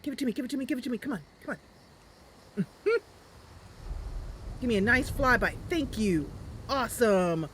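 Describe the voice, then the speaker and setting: A woman's voice: a little high, expressive, moderate pace, pauses often, a bit bright, slight background noise. A woman hosting a gaming channel, giving casual, chatty, playful gameplay commentary.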